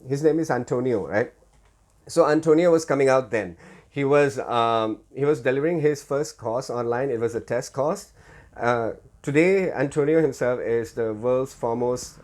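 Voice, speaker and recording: Halting man telling his story. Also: mid-range voice, a little mellow. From Thailand and India. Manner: halting, repeats words. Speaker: podcast host. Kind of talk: informal personal storytelling to listeners.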